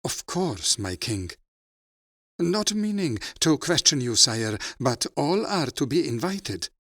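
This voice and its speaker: A man performs a deferential palace guard in an audio drama. The delivery is slow and formal, with lively pitch movement. The sound is close and dry. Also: no echo, exaggerated voice. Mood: dutiful, cautious. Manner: formal, obedient, measured. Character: dutiful, deferential royal guard. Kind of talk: fantasy audio drama acting.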